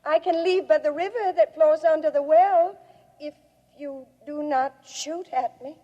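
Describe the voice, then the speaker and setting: An actress playing a grieving, proud alien woman in a 1950s radio drama, speaking in a solemn, measured way. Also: high-pitched. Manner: slow, solemn, measured delivery. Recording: muffled old radio recording, long cavernous reverb.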